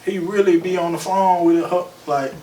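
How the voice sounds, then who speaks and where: A man co-hosting a podcast, chatting casually with quick interjections. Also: high-pitched. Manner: relaxed, quick back-and-forth interjections. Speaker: podcast co-host. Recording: echoey room, mic at a distance, faint noise.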